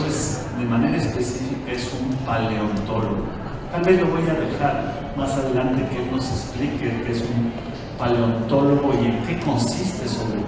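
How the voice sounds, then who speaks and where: A man's voice: mid-range voice, far from the mic, heavy background noise. A man with a Mexican accent, moderating a live stage talk, playful and full of little "right?" tags. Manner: warm, playful, with many filler "¿no?" tags. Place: large event hall. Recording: echoey hall, mic heard from afar.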